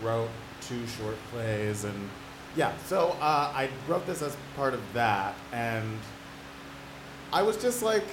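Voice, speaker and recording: A man's voice: mid-range voice, animated, takes it slowly. Guy getting interviewed, chatty and informal, echoey room w noticeable background noise. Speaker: playwright being interviewed. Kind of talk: casual, conversational interview answers. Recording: echoey, noisy live room.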